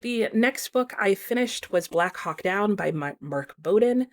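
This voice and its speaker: A woman with a mid-pitched voice gives a casual, conversational book review in vlog style. She explains her opinions plainly, as if talking to viewers. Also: expressive, a little slow.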